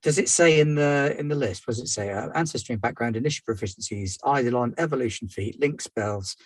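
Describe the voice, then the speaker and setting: A man's voice: mid-range voice, fast talker, no echo. A man playing a tabletop game, thinking aloud while he walks through his character. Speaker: player. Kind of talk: casual chat about game rules.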